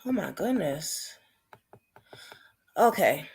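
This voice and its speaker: Slow, husky woman hosting a livestream. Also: sits low, very animated, darkish tone. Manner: informal, halting, exclamatory. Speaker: livestream host. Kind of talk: casual livestream reaction.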